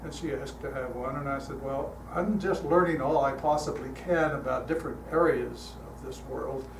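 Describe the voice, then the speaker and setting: An older man speaking as a guest at a church, telling stories in a relaxed, chatty way with frequent fillers, his voice calm. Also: medium pitch, moderate pace. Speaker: guest speaker leading a guided meditation. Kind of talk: storytelling talk to a congregation. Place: church hall. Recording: echoey hall, heard from a distance, noisy.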